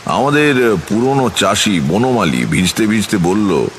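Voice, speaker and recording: A man narrating a scripted horror tale in the first person, in a measured, literary manner. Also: fairly low pitch, sing-song, slight background noise. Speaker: storyteller and lead narrator.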